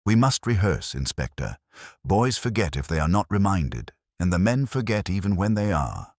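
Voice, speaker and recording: An authoritative older churchwoman in a mystery audiobook, put on by a male reader. She is brisk and insistent, with a husky voice and animated pitch. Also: dry recording, studio quality, exaggerated voice.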